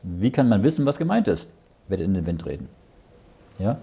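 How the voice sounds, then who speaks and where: A man's voice: low voice, sing-song, a little fast, mellow, slightly rough. A man leading a Bible study. He reads verses aloud, explains them in plain words and often checks with "ja?". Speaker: Bible teacher.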